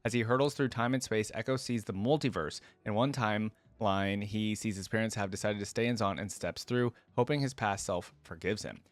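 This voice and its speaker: A man's voice: lowish voice, normal speed, few pauses, no room sound. A man hosting a casual reaction video, chatting informally to viewers and reading aloud as he goes. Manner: informal, addresses viewers directly as "guys". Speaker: video host, gaming fan reactor.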